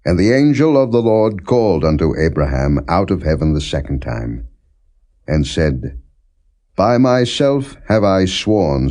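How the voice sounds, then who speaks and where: A man narrating scripture as a scripted, non-dramatized reading, in a solemn, measured and deliberate manner. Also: a bass voice, darkish tone.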